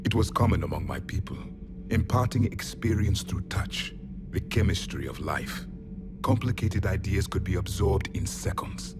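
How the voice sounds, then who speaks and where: Loud music plays under a very low male voice. It is a grim, imperious alien soldier from a video game, making solemn and blunt pronouncements with the pride of a fallen empire's last survivor. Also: lively intonation, moderate pace. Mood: measured, intense. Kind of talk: dramatic video game acting. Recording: dramatic music behind the voice.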